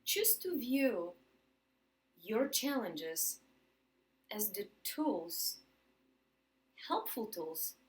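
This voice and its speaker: A woman's voice: mid-range voice, sing-song. Emphatic Polish-accented motivational woman. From Poland. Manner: emphatic, repeats words for stress, slows on key phrases. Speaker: motivational speaker. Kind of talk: motivational talk to camera.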